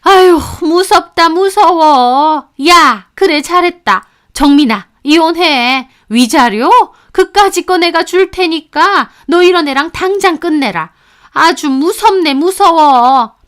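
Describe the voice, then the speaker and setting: Woman voicing a shrill older mother-in-law, sharp, scolding, high-pitched, smooth tone, storytelling. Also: sing-song, moderate pace. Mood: furious.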